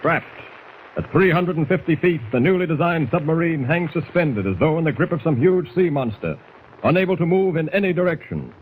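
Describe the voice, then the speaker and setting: A man's voice: on the high side, animated, fairly quick. An American man narrating a 1940s radio drama in a dramatic, suspenseful manner. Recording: dull, faintly noisy 1940s radio recording.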